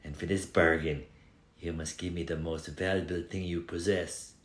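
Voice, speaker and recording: A man voicing a sly, menacing female sea witch in a storybook reading, with a cackling, drawn-out way of bargaining. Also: low-pitched, fairly even intonation, roomy sound, close to an everyday voice.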